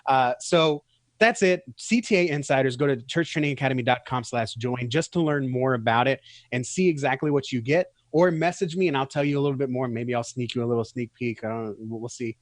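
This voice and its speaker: A man's voice: medium pitch, brisk, no echo. Easygoing joking man, dry mic. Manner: easygoing, joking, repeats words. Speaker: co-host, training academy founder. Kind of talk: casual conversational podcast.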